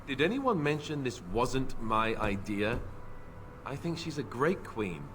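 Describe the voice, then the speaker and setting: Swooping, wisecracking reluctant young royal. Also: male voice, mid-range voice, big pitch swings, unhurried, noisy background. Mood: wry, uneasy. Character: self-deprecating, wisecracking young warrior, reluctant royal heir. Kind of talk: scripted video game acting.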